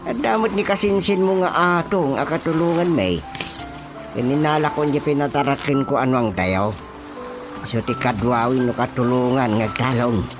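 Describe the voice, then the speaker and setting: A man's voice: mid-range voice, moderate pace, music in the background, natural voice. A man with an Ilocano accent acting an uncle who is a village chairman in a radio comedy drama. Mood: persuading. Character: animated male village chairman, an uncle figure.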